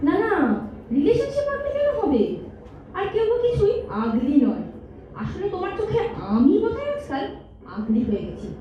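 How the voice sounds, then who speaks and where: Actress as hurt girlfriend, very high voice. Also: huge pitch swings, normal speed, full character voice. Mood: accusing. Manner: sarcastic, aggrieved, emphatic. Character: hurt, accusing girlfriend in a long relationship. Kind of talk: melodramatic stage audio drama. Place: multipurpose hall stage. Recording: distant echoey hall, dull, faint noise.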